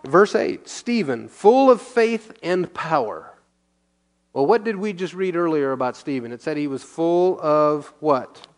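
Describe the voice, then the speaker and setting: A man teaching a sermon verse by verse as pastor, reading scripture aloud and explaining it in a relaxed, conversational way, asking and answering his own questions. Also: fairly high pitch, measured pace.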